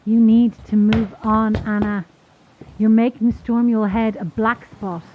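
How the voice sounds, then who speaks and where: A woman playing a mysterious caller in a horror audio drama, measured, grave and insistent as she delivers hard truths. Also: a little high, flat delivery, narrow, tinny audio, a little hiss or hum, natural voice. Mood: firm insistence.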